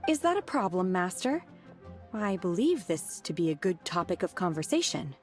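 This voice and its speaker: A woman voicing a calm, blunt young disciple in a video game, speaking in a deadpan, plain way. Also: lowish voice, normal speed, soft background music.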